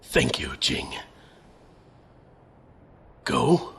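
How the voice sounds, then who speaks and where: A gentle young captain near death, acted by a man for an audio drama. His voice is weak and heavily creaky, and he speaks slowly in laboured, halting phrases. He stays resolute as he goes, over faint noise. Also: mid-range voice, huge pitch swings, full character voice. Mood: fading, urgent. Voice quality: weak, creaky, breathy pauses.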